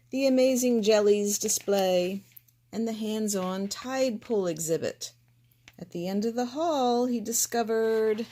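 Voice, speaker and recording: A woman reading a children's picture book aloud in a gentle, expressive way. She narrates slowly and gives each character a voice of its own. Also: mid-range voice. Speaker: storybook reader.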